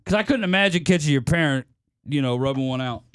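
A man's voice: fairly high pitch, expressive, fast talker, clear, resonant tone, no echo, clean studio sound. A man co-hosting a radio show, reacting in casual comedic banter.

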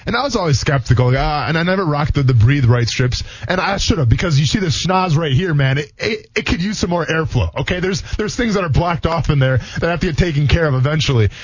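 A young man, a former football player co-hosting a sports radio show, telling stories fast and laid-back with lots of slang. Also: a little high, lively intonation, slightly rough, no room sound. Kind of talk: casual sports radio chat. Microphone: studio microphone. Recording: muffled radio studio mic.

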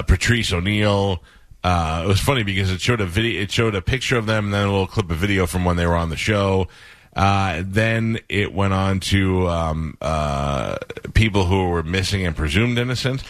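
A man's voice: deep voice, normal speed, no echo. A man hosting a radio show, telling stories in a rambling, dry way with many fillers. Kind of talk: casual radio chat.

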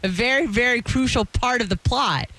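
A woman's voice: a little high, normal speed, a bit bright, slight background noise. A female radio co-host bantering playfully with the host, often poking fun at herself. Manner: playful, self-deprecating. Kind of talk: casual studio banter. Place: radio studio.

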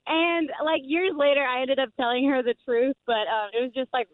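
Over a thin phone line, a woman in her early twenties tells an anecdote casually. She is a native Spanish speaker with a fairly high voice. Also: fairly even intonation, brisk, slight background noise. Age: early 20s. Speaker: phone-in caller. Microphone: telephone.

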